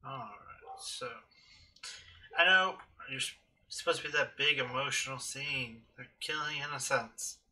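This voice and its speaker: A man's voice: mid-range voice, a little slow, bright, forceful tone. A man giving casual, irreverent let's play commentary, talking to himself as he plays at a desk microphone. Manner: offhand, irreverent, talks to himself while playing. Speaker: game streamer.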